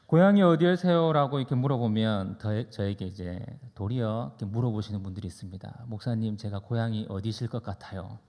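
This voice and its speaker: A man preaching as a pastor in a conversational, anecdotal sermon style, deliberate and pausing between phrases. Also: lowish voice, dark tone. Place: church sanctuary during a service. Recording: reverberant hall, long echo.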